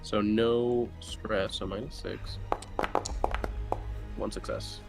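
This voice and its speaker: Man, unhurried pace, medium pitch, casual game-table chat, tabletop player, clear background music. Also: fairly even intonation. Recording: music behind the voice.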